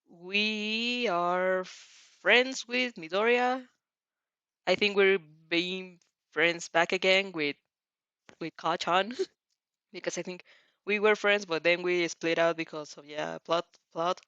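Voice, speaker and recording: A woman's voice: lowish voice, a bit bright, no room sound, highs cut off. A woman hosting a casual fanfiction read-aloud, hesitant and giggly, often correcting herself as she goes. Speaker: host of fanfiction reading show.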